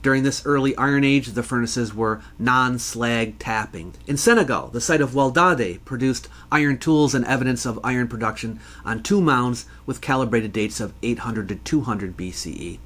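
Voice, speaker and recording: A man hosting an educational show, reading scripted explanatory narration in a measured, scholarly way. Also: medium pitch, fairly bright tone. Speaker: host answering viewer questions.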